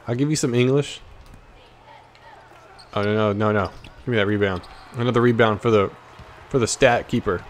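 An American man with a mid-range voice hosts casual gameplay commentary. He is excitable and playful, tossing out trash talk and dropping into muttered asides. Faint basketball game noise runs behind him. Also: medium pitch. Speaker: gaming video host. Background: basketball video game sounds.

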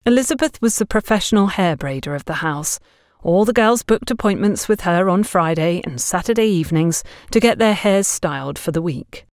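Narrator: woman, slightly low register, scripted storytelling, dry studio-close sound. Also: expressive, normal speed, no room sound. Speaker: audiobook narrator.

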